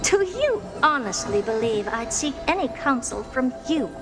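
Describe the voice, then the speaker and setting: A woman's voice: sits high, sing-song, unhurried, heavily acted. A woman voicing a haughty, playfully cruel female genius scientist in a video game, with a smug, condescending and theatrical delivery. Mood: imperious, gloating. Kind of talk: dramatic video game voice acting. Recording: game music behind the voice. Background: game music.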